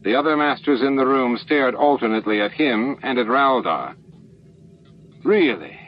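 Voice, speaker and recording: A man's voice: mid-range voice, a little fast. A man reading a science fiction novel aloud as an audiobook narrator, in scripted narration. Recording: dull lo-fi audio, faint noise.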